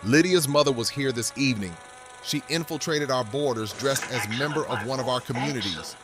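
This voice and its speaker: A man plays a dignified, solemn community leader speaking formally. His voice is medium pitched, in an audio drama with loud music behind it. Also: a little fast, natural voice. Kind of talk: fan audio drama acting.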